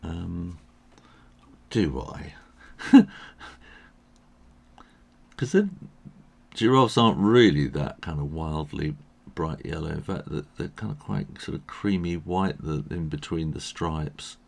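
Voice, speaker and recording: Tired, croaky man. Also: low-pitched, slow, pauses often. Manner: relaxed, thinking aloud with soft chuckles. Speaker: illustrator teaching drawing. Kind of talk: casual drawing tutorial narration.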